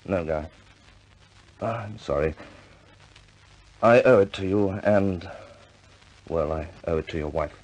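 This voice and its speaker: An actor playing a middle-aged senior RAF commander in a 1950s British war film, speaking in a measured, clipped British accent with firm but kindly authority. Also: male voice, low-pitched, darkish tone, poor audio. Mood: kindly, regretful.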